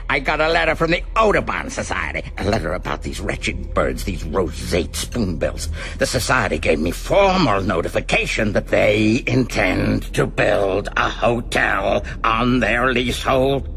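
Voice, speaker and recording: An actor playing a cold, boastful, menacing criminal mastermind in a radio drama, with theatrical, deliberate delivery. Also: male voice, fairly low pitch, very animated, gravelly, a bit bright, slight background noise, full character voice. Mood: self-satisfied. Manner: slow, deliberate, theatrical, grandiose.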